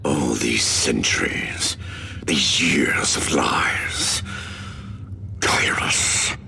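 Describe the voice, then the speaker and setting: An actor playing a betrayed, resolute veteran warrior leader in a game cutscene, hard and commanding. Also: male voice, very deep voice, extremely slow, creaky, whispered, full character voice. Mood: bitter.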